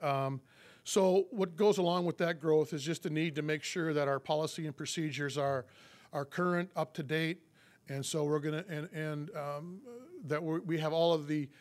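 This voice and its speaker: A man in his fifties, a police chief getting over a cold, giving a public address at a podium mic in a meeting room, halting with many fillers and restarts. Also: on the high side. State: recovering from a cold.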